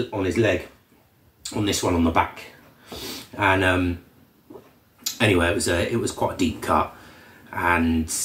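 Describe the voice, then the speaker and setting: A man with a cold and a slightly croaky voice, hosting a casual vlog update in a relaxed, rambling way with many ums. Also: low voice. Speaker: channel host. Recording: roomy echo from a distant mic.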